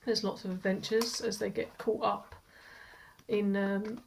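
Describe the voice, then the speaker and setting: A woman chatting about a book at home, her nose blocked up from a cold. She rambles loosely, trips over and repeats her words, and laughs often. Her pitch sits at an ordinary middle height. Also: level tone, unhurried, gravelly, slight background noise, rough recording. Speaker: book reviewer. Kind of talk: casual chat to camera.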